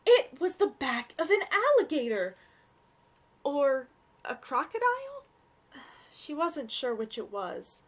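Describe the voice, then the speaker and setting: Young woman, children's story reader: high, lively intonation, gentle, takes her time. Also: slow pace, long pauses. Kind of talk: read-aloud storybook narration for children.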